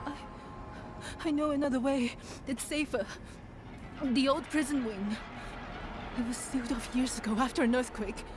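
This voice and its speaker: An actress playing a shaken, frightened survivor with a hesitant, halting delivery in a video game. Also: female voice, high voice, steady tone, natural voice. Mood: frightened, wary. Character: frightened, shaken female survivor of a captive city. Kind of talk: dramatic video game acting. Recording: dramatic music under the voice.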